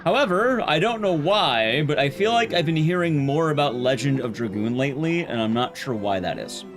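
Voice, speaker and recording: Animated man chatting on a game stream. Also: fairly high pitch, moderate pace. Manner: rambling, conversational, blunt, swears casually. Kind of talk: casual live-stream chat while gaming.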